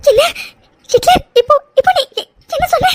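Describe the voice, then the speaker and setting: A woman playing a sweet, emotional young wife in an audio drama, speaking in a halting, plaintive way. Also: very high voice, no echo, clean studio sound. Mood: tearful disbelief. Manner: halting, repeating words, plaintive. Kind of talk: emotional serial-style audio drama acting.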